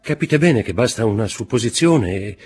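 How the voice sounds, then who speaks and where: A tense royal prosecutor who forces out his words under strain, as a male reader plays him. The voice is slightly raised and husky, with lively inflection. Audiobook drama reading. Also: lowish voice, moderate pace, soft background music, pitched above the performer's own voice.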